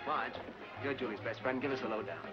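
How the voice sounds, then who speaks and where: A man's voice: medium pitch, fast, lightly acted. A man playing a nosy, clipped party guest in 1940s film comedy style. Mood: curious. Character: nosy, fast-talking male party guest. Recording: muffled 1940s film soundtrack with music.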